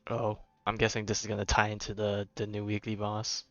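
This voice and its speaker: Flat-toned male game streamer, muffled sound. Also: on the low side, a little fast, soft background music. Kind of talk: casual live gameplay commentary. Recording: dull lo-fi streaming audio.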